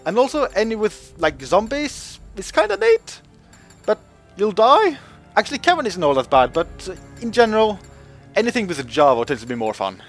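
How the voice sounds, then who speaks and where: A man's voice: sits high, big pitch swings, moderate pace, faint music behind. A man giving casual commentary over game footage, talking in a chatty way with lots of fillers and voice jumps when joking. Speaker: gaming video host.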